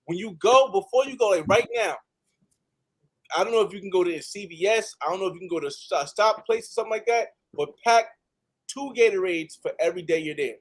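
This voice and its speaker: A man's voice: high-pitched, brisk. A man from the United States talking casually on a panel, emphatic and repeating phrases for stress. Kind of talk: casual panel talk, travel advice.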